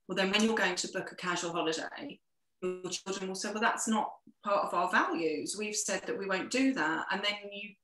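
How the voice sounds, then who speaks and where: A woman's voice: on the low side, fairly bright tone, roomy sound, rough recording. A woman, the guest in an interview, giving earnest practical advice with frequent restarts. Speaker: sustainability guest. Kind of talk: interview conversation.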